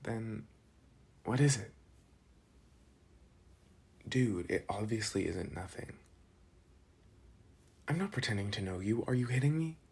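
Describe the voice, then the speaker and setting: School bad boy played by a young man: deep-leaning raspy voice, slangy, protective, teasing, close ASMR boyfriend roleplay. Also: slow, pauses often, exaggerated voice. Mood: concerned, earnest. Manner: casual slangy teasing with soft sighs. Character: protective teenage school delinquent, gruff but soft-hearted, teasing.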